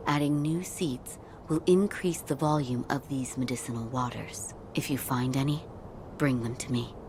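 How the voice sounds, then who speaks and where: Low-voiced, courteous doctor. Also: female voice, noisy background. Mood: formal, composed. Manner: formal, measured, reserved. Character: calm, courteous female doctor serving a secret lord. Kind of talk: video game cutscene acting.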